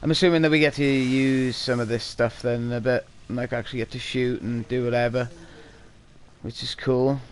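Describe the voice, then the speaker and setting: A man's voice: medium pitch, little pitch movement, normal speed, a little hiss or hum. A man giving laid-back, casual gameplay commentary as a video host, swearing freely as he goes.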